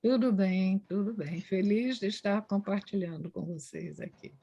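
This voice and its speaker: A woman's voice: mid-range voice, moderate pace, creaky, mellow, breathy edge, highs cut off. An older woman with a Brazilian accent, introducing herself formally and courteously as a doctor at an online event. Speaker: panelist, public health doctor.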